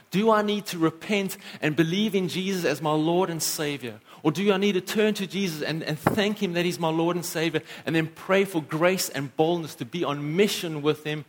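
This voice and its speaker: A pastor preaching a sermon in church, earnest, lifting and dropping his voice for emphasis. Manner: earnest, rises and falls in pitch for emphasis.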